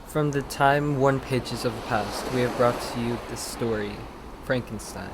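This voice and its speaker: Actor playing male horror-show announcer, solemn, sinister, portentous, old-time radio style, loud background noise. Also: medium pitch, measured pace, lightly acted. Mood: ominous.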